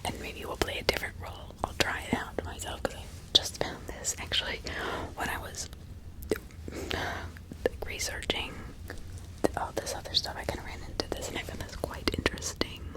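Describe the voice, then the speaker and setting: A woman's voice: moderate pace, pushed and bright. Whispering woman hosting ASMR. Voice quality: breathy unvoiced whisper with some crackle. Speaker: ASMR host. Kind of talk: whispered ASMR role-play and reading.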